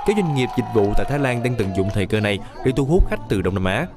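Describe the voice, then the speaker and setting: A male news narrator reads his script quickly and evenly, with no pauses to spare. A faint music bed runs under his voice. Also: medium pitch. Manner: brisk, even newsreading. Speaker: news reporter.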